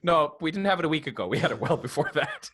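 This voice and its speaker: A man's voice: a little high, expressive, fast, fairly bright tone. A man co-hosting a podcast with casual, joking banter and interjections. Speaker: podcast co-host. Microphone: headset or headphones setup.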